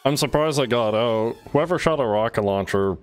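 A man's voice: mid-range voice, animated, moderate pace, clean tone, soft background music. A man doing casual, sweary gameplay commentary as a streamer, chatting loosely to his teammates. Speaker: game streamer.

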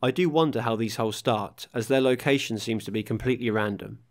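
A man's voice: medium pitch, fast. Man narrating his car restoration. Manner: steady, matter-of-fact explaining of workshop steps. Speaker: host of a car restoration channel. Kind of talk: scripted voice-over narration.

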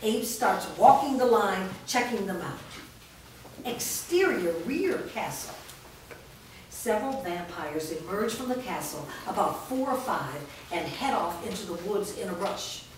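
Woman narrator, staged reading, measured scripted delivery, very reverberant room, distant mic. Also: mid-range voice, lively intonation. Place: large bare room with small audience, indoors. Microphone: distant camera microphone. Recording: echoey room, distant mic, faint noise.

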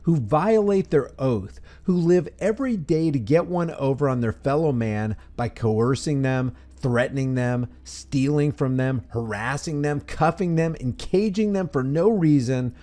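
A man reading scripted, critical commentary as a voice-over, deliberately stressing key phrases. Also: medium pitch, expressive, mellow. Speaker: commentary channel host.

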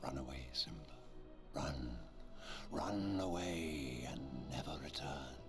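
Storybook villain lion, very deep gravelly sly voice, slow. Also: male voice, over music, exaggerated voice. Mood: menacing. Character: scheming villainous adult male lion uncle.

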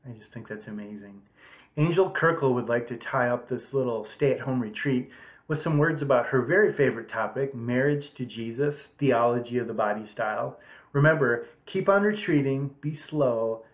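A man's voice: mid-range voice, moderate pace. Man in his forties, informal retreat host, tinny narrowband home recording. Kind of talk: informal introduction to camera. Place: small room at home. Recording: thin, phone-like home webcam audio.

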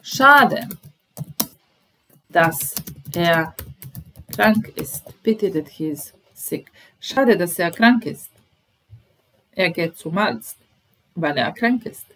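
A woman's voice: lowish voice, expressive, drawn-out words, long pauses, a bit bright, a little hiss or hum, low-quality recording. A woman in her thirties teaching a language lesson, patiently repeating phrases word by word at a webcam in a small room. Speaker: German teacher. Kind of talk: slow explanatory language lesson.

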